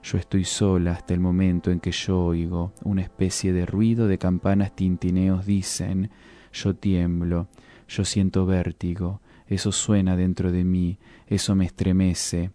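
A man giving a solemn, deliberate dramatic reading of poetic prose, as a guest reader. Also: sits low, flat delivery, dark tone. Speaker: guest reader of literary texts. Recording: music under the voice. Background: music.

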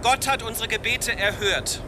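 Pompous prosecutor on the radio, high voice, noisy broadcast. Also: male voice, moderate pace. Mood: triumphant. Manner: grandiose, pious speechifying.

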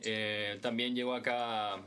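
A man's voice: medium pitch, little pitch movement, slow pace, pushed and bright, a little hiss or hum. A man from Colombia, speaking casually as a guest in an interview. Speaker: drummer, band guest. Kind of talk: casual self-introduction in interview.

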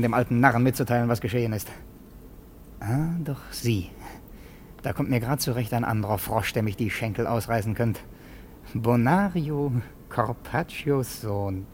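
An actor playing a scheming, flattering parasite servant in a theatrical, wheedling radio play style. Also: male voice, medium pitch, moderate pace, pauses often, natural voice. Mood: gloating. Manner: theatrical, wheedling, conspiratorial asides. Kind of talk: classic German radio play acting. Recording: noisy old radio play recording.

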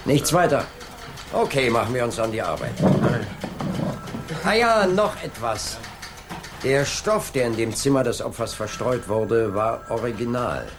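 A man's voice: mid-range voice, lively intonation, a little slow, pauses often, background noise. A man playing a middle-aged police inspector in a 1980s German radio drama, authoritative and dryly sardonic. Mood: brisk, commanding. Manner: commanding, dry, sardonic. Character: brisk, authoritative male police inspector leading a murder case.

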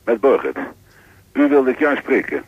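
A man's voice: mid-range voice, very animated, moderate pace, breathy edge. An actor playing an insistent man on a telephone line in a radio play. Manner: pressing, insistent. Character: urgent man calling by telephone.

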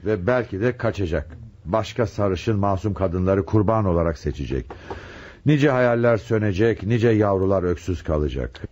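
An actor playing a measured, authoritative and resigned chief police inspector in a radio drama. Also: male voice, sits low, lively intonation, a little mellow. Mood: grave, resigned. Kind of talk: Turkish radio drama acting. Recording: muffled, slightly noisy radio drama recording.

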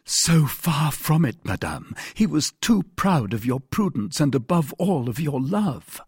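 Young guardsman, done by a male narrator: gallant, courtly, husky, very lively intonation, audiobook. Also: on the high side, a little slow, no echo, studio quality, pitched above the performer's own voice, heavily acted. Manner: courtly, formal, earnest. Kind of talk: audiobook character voice.

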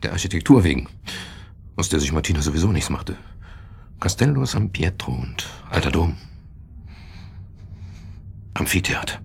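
An actor playing a bitter, agitated husband in a German radio play, confessing in a halting, brooding way and repeating his words. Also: a bass voice, very animated, gravelly, slightly rough, exaggerated voice. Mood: brooding confession.

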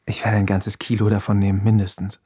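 An actor playing an easygoing, contented husband in a naturalistic radio drama, talking casually and intimately. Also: male voice, lowish voice, monotone, fast talker, mellow, natural voice. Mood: casual, keen. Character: easygoing, contented husband remembered by his wife. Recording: guitar music behind the voice.

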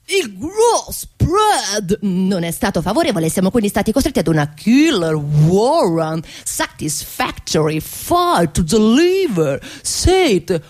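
A woman's voice: medium pitch, very animated, takes it slowly, hardly any pauses, a little mellow. A woman playing a smug, evasive male company executive in a satirical radio play, answering dismissively. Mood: pompous, stumbling. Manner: dismissive, hesitant openings, mangled corporate English.

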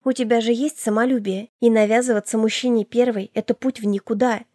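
A woman's voice: fairly high pitch, steady tone, fast talker, mellow, dry recording, clean studio sound, close to an everyday voice. A woman voicing a caring, protective elder sister in her twenties in an audiobook, firm, reassuring and motherly. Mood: persuasive. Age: 20s. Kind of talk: audiobook character dialogue.

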